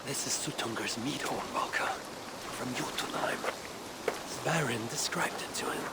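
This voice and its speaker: An actor playing an earnest, curious Viking warrior leader in a video game, speaking deliberately. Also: male voice, mid-range voice, very noisy, natural voice. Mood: reassuring. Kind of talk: video game cutscene acting.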